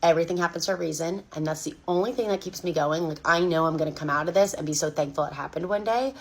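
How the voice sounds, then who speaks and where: A woman with a fairly low voice rushes through a frustrated rant, circling back to the same complaint again and again. She speaks quickly and bluntly, like a personal vlog. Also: slightly rough. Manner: frustrated, repetitive venting. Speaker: laid-off worker. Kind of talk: venting vlog monologue.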